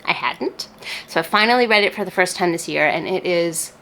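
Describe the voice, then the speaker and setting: A woman's voice: on the low side, lively intonation, a little fast, pushed and bright, rough recording. A woman chatting casually to camera as a book reviewer, enthusiastic and emphatic, with informal phrasing and casual swearing.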